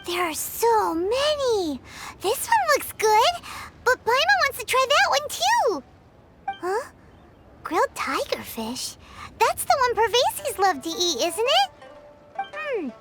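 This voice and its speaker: A woman's voice: extremely high pitch, wildly swooping pitch, slow pace, over loud music, exaggerated voice. A woman voicing a tiny childlike fairy companion, bubbly and eager, in a video game. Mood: eager, delighted. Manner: refers to herself in third person, exclaiming.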